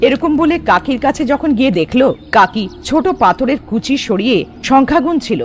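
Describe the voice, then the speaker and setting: A man's voice: very high voice, animated, brisk. A man narrating a scripted cartoon story in a dramatic storytelling manner. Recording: long reverb, music underneath.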